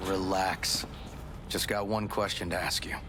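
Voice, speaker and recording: A man's voice: sits low, steady tone, measured pace, heavy background noise. An actor playing a seasoned, composed male police detective in a video game, speaking in a dry, wry, matter-of-fact way. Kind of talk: naturalistic video game acting.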